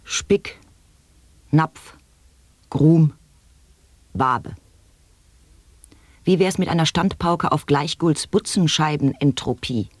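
An actor in a radio play declaiming nonsense sound poetry in slow, halting word-by-word exclamations. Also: male voice, on the high side, no echo.

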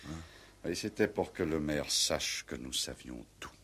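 An actor in a 1960s French radio play playing a proud, middle-aged former Resistance commander, speaking in a grave, formal, solemn way. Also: male voice, rumbling low, lively intonation, unhurried, a bit bright, slightly rough, heavily acted. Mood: grave confession.

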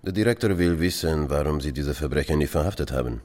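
Very deep-voiced stern Russian henchman. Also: fast talker, a little mellow. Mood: stern, insistent. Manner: formal, insistent questioning. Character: stern Russian henchman interpreting for his boss. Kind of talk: audio drama dialogue.

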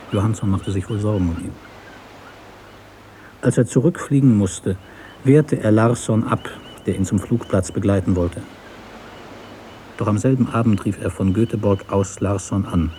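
A man narrating a literary story for radio in a measured, deliberate way. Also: on the low side, warm tone, slight background noise.

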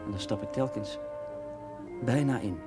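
Music sits behind a dry, clipped engineer played by a man in a seventies television drama. His voice is fairly low and matter-of-fact, wry and sparing with words. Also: moderate pace, lightly acted. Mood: tender, wistful. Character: curt, wry male mine engineer, privately lovesick. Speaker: mining engineer. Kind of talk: naturalistic 1970s TV drama acting.

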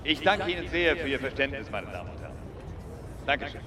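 A man's voice: mid-range voice, big pitch swings, fast talker, noisy background, close to an everyday voice. An actor playing a male government minister giving an emphatic political speech in a radio drama. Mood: shaken, calming. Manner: oratorical, emphatic, repeats phrases for effect. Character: male politician, interior minister, rousing stump speaker. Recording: roomy outdoor PA, distant, music and crowd behind.

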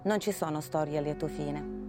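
A woman narrating a historical documentary in a measured, solemn manner. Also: sits low, lightly acted. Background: music.

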